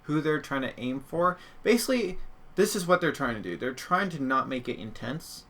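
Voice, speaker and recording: Young guy on a podcast, casual and blunt, bright crackly voice. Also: a little high, animated, normal speed. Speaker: podcast co-host. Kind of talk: casual chat reviewing audio dramas.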